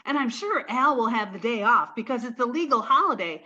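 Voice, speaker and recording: A woman's voice: fairly high pitch, lively intonation, a little fast, bright, forceful tone. A woman playing a sweet, naive, eager young roommate with gushing earnestness in a 1940s radio comedy, in slightly muffled sound. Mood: giddy.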